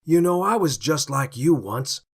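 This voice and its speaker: A man's voice: on the high side, very animated, unhurried, slightly rough, full character voice. A man voicing a weary, put-upon alpaca police officer in a read-aloud story, talking in a dry, exasperated way. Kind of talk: storybook character voice.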